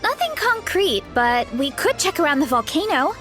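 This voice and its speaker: An earnest young woman speaks in a high voice with some hesitation. Game music runs behind her. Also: very animated, moderate pace, fairly bright tone. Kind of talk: video game voice acting.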